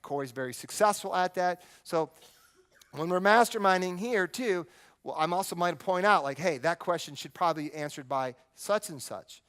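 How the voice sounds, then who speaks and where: Man, slightly high pitch, event host, informal stage presenting, introducing speakers. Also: moderate pace, fairly bright tone, clean tone. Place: stage at a filmed live event.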